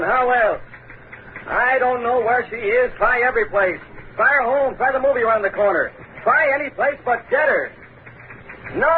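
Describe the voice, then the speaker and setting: An actor playing a brash, excitable newspaper reporter in an old radio drama, breezy and urgent. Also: male voice, very high-pitched. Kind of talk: 1930s-40s radio drama acting. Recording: noisy, phone-like old radio broadcast.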